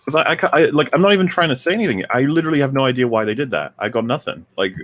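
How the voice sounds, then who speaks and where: A man's voice: medium pitch, animated, very fast, a bit bright. A man on a panel, discussing films in a casual, conversational way. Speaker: podcast guest or panelist.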